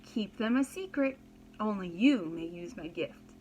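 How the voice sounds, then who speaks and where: A kindly, stooped old villager from a storybook, as a woman reader plays her. She speaks slowly and earnestly, gently solemn, as if handing down careful instructions. The recording carries a faint background noise. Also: medium pitch, expressive, close to an everyday voice. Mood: solemn warning. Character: kind, bent old peasant woman, shivering yet gently solemn.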